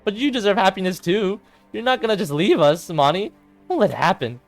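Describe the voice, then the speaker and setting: A man's voice: high voice, animated, fairly quick, soft background music, voice pushed up. A man voicing a frantic, loyal teenage girl who pleads emphatically in a dramatic visual novel performance. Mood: pleading.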